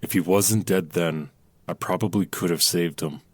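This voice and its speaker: A man's voice: low-pitched, moderate pace, darkish tone, dry recording. An actor playing a young man who doubts his own songwriting, who speaks in a self-deprecating, frustrated way and mutters to himself in an audio drama. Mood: dejected.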